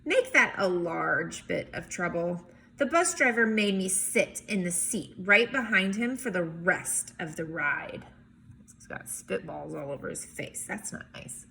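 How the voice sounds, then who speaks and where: A woman reading a storybook aloud in an expressive, emphatic way, with chatty asides as if to young children. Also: lowish voice, unhurried, gravelly, rough recording. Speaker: teacher or reader reading a picture book aloud.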